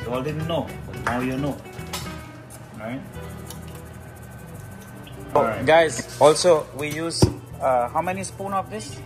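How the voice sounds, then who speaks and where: A man's voice: fairly high pitch, unhurried, pauses often, over music. A man hosting a casual vlog, explaining a recipe and often checking in with short tag questions. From Trinidad. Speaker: vlog host. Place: home kitchen.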